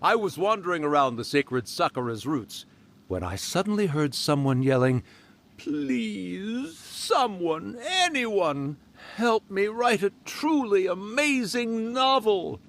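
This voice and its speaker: A man's voice: high voice, very animated, takes it slowly, gravelly, fairly bright tone, full character voice. A man voicing a mischievous old male fox spirit in a video game, theatrical and boastful. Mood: teasing. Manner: theatrical, hammy villain voice, then genial and teasing. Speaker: yokai spirit character.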